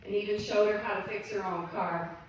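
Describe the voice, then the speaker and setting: A woman hosting a memorial service, telling stories about the deceased in a calm, warm-hearted way and introducing the next speaker. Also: mid-range voice, brisk, highs cut off. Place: memorial gathering in a large room. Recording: echoey hall, distant mic, faint hum.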